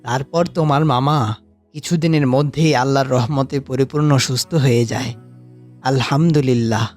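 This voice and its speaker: A man voicing a kindly, pious grandfather who speaks gently and instructively in a cartoon. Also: mid-range voice, fairly even intonation, moderate pace, soft background music, lightly acted. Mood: gentle teaching. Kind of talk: animated cartoon voice acting.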